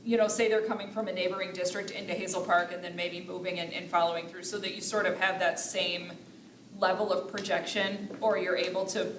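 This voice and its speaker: A woman's voice: on the low side, level tone, brisk, roomy sound, distant mic, background noise. A woman on a school board asking a careful question, hedging as she works out what she means. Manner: searching, hedging with "like" and "sort of". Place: board meeting room.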